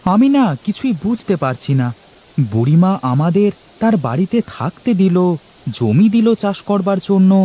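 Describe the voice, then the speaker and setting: A man performs an earnest young villager for an animated moral tale. The voice sits very high and moves in big swoops, with a rounded, mellow tone. Exclamations come often, and music plays underneath. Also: a little slow, exaggerated voice. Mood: earnest, relieved. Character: humble, excitable young village farmer husband. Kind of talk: animated moral-story dubbing.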